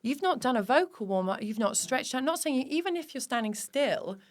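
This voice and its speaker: A woman's voice: high voice, expressive, a little fast. A British woman speaking as a comedian guest in a casual interview, rambling and emphatic, with some swearing. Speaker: clown performer and teacher.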